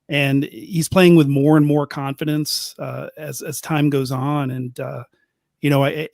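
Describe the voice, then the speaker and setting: A man with a Midwestern American accent giving casual soccer analysis in a measured way, often pausing with fillers. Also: mid-range voice, creaky, darkish tone, no room sound, studio quality. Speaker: co-host.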